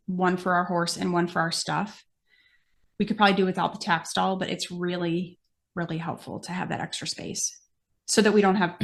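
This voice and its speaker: A woman's voice: fairly low pitch, vocal fry. An American woman in a casual podcast Q&A, explaining things in a practical way and thinking aloud as she goes. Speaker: podcast guest host, amateur horse show exhibitor.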